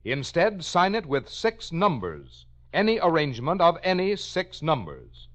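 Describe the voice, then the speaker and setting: A man's voice: fairly high pitch, wildly swooping pitch, measured pace, a bit bright. A man working as a radio announcer, reading a formal, carefully enunciated script in 1950s broadcast style. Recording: muffled 1950 radio broadcast, faint music.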